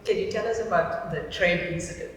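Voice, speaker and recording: A woman's voice: on the low side, a little fast, far from the mic. Lady hosting a chatty vlog, jokey and self-mocking, super echoey room, bit of noise. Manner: playful, teasing, self-deprecating. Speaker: vlog host. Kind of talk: casual chat to camera and guest.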